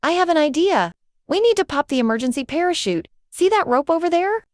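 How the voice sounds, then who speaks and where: A small adventurous storybook squirrel, played by a woman narrator, squeaky and high. He is plucky and brisk, with lively swings of pitch as he gives instructions. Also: no echo, clean studio sound. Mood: brisk, eager. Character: tiny adventurous male squirrel, plucky and knowing.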